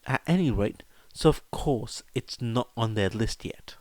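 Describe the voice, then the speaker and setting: Storybook role: incredulous teen wizard lad, read by a man, blunt, teasing, slightly raised pitch, dry recording. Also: expressive, measured pace, a little mellow, no room sound, natural voice. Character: brash, incredulous teenage wizard boy.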